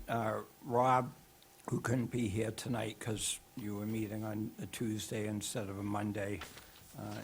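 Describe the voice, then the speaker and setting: A man giving a cable advisory board update at a town meeting, hoarse from losing his voice, hesitant with many fillers. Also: on the low side, a little hiss or hum. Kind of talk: report at a public meeting.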